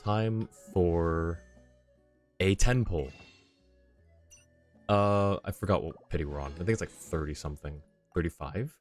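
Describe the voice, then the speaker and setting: A man's voice: sits low, measured pace, long pauses. A man streaming a game live, chatting casually and laughing often with muttered asides. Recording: game music faint behind.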